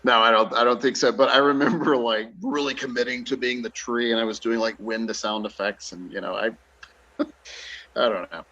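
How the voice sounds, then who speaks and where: A man's voice: medium pitch, fairly even intonation, brisk, pushed and bright. A man, an actor and director, chatting casually as a guest in a joking, self-deprecating way. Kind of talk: casual chat. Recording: dull lo-fi audio.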